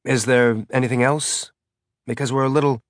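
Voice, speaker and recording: A man's voice: mid-range voice, fairly even intonation, normal speed, no room sound, studio quality, natural voice. Worn-out male captain, harried, distracted, voiced by a man. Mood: weary. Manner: weary, distracted.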